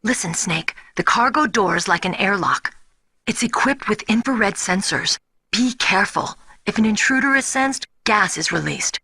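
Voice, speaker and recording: A headstrong young rookie soldier. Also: female voice, medium pitch, expressive, moderate pace, pushed and bright, breathy edge, exaggerated voice. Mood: confident, determined. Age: young adult. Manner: earnest, defiant. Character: young, headstrong rookie female soldier, eager yet vulnerable. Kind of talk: video game voice acting. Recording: music behind the voice.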